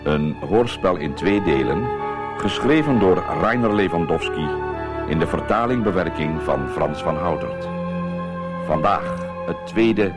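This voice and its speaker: Deep guy doing radio drama intro credits, really slow, big music behind him. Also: lively intonation. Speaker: announcer. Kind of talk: scripted radio drama title announcement. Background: loud music.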